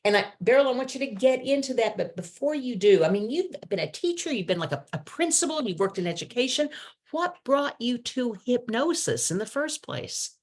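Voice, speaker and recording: A woman's voice: fairly high pitch, very animated, brisk. A woman hosting an online meeting, introducing a guest speaker in a chatty, enthusiastic way. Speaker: host. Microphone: video call.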